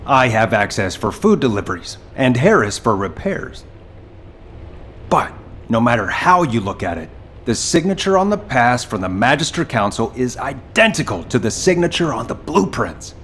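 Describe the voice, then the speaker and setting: An actor playing an easygoing, sincere courier comrade in a video game cutscene, speaking conversationally. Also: male voice, mid-range voice, moderate pace, a little hiss or hum. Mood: explaining, puzzled. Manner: conversational, animated.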